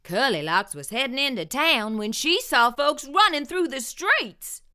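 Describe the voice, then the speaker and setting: A middle-aged woman reading a children's picture book aloud with expressive storytelling. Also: high voice, measured pace, clean tone. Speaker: children's book reader, channel host.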